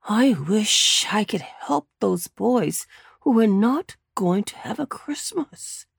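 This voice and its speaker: A woman voicing a kindly old male rabbit gentleman in a storybook, gentle and unhurried. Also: mid-range voice, expressive, gravelly, breathy edge, dry recording, studio quality, heavily acted. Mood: thoughtful.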